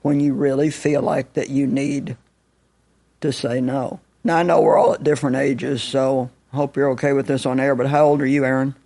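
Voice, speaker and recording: A man's voice: medium pitch, level tone, normal speed, mellow. A man in his seventies, a minister and author, talking frankly and reflectively on a chat show. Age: 70s. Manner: reflective, candid, frank storytelling with self-deprecating humor. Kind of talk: casual talk-show chat.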